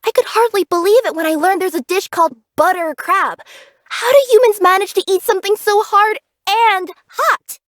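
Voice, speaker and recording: A woman's voice: very high voice, normal speed, a bit bright, dry recording, clean studio sound. A woman voicing a cheerful, curious young female cat yokai who works as a package courier in a video game, earnest and bubbly in manner. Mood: grumbling, complaining. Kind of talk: English video game character voice acting.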